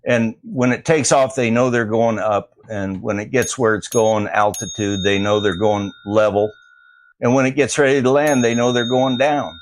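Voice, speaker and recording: A man's voice: mid-range voice, fairly even intonation, moderate pace. A man calling in to a talk show, explaining things casually while searching for his words. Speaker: caller. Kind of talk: casual call-in chat.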